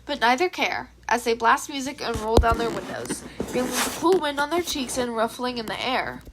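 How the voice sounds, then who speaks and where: A high-pitched woman's voice reads fiction aloud. She delivers scripted narration in the manner of an amateur audiobook. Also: expressive, normal speed, very noisy.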